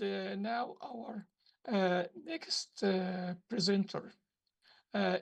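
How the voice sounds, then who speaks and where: A woman chairing an online academic conference session, thanking speakers and introducing the next one with halting, repeated words. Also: medium pitch, vocal fry, slightly rough.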